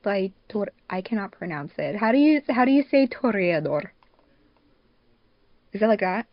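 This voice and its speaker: A woman's voice: medium pitch, big pitch swings, moderate pace, dry recording, muffled recording. A woman in casual podcast chat as a co-host, peppering her sentences with "like". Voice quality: frequent "like" as a filler. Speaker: podcast co-host, fandub producer.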